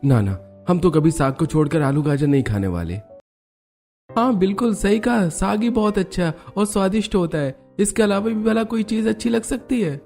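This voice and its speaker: A woman's voice: medium pitch, normal speed, dark tone, soft background music, voice pushed down, natural voice. A woman voicing a stubborn, fussy husband in a cartoon story, speaking emphatically. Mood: indignant. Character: stubborn husband, fussy eater, devoted to greens. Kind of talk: Hindi cartoon story acting.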